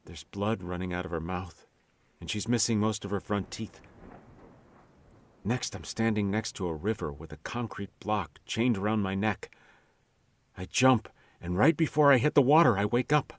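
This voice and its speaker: A man's voice: on the low side, normal speed, no room sound, close to an everyday voice. A man playing a guilt-ridden, haunted man in a radio mystery drama, speaking hesitantly and troubled. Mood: uneasy, haunted.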